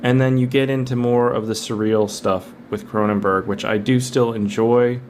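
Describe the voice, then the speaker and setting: A man's voice: medium pitch, fairly even intonation, normal speed, darkish tone, slight background noise. A man co-hosting a film podcast in casual, conversational discussion.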